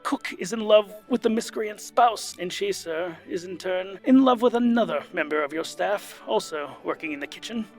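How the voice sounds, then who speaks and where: A man's voice: high-pitched, lively intonation, moderate pace, hardly any pauses, a little mellow, soft background music. A man playing a deferential, dryly formal, long-suffering butler in a comic fantasy audio drama. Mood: weary, tactful.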